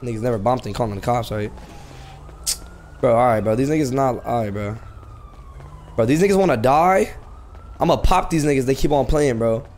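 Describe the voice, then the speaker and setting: A man's voice: mid-range voice, moderate pace, pauses often, a little mellow. A man streaming street-crime game roleplay, talking in confrontational slang and insistently repeating himself over some noise.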